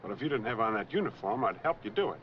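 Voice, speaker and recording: A man's voice: on the low side, lively intonation, rapid-fire, slight background noise, lightly acted. A man playing a cocky, defensive truck driver in a 1940s film noir. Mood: cocky, indignant. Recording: muffled 1940s film soundtrack, music behind.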